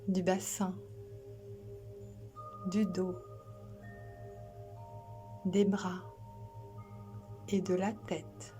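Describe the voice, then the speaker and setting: Very slow, mellow woman guiding relaxation. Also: on the low side, level tone, soft background music. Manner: soothing, slow, gentle, with long pauses. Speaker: yoga nidra instructor. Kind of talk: guided relaxation for sleep.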